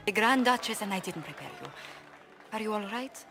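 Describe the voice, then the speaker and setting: An earnest woman adviser in a fantasy game, voiced by an actress. She is sharp and emphatic, with very lively intonation and some music underneath. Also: mid-range voice, brisk, crowd noise, natural voice. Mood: concerned, urgent. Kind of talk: video game dialogue acting.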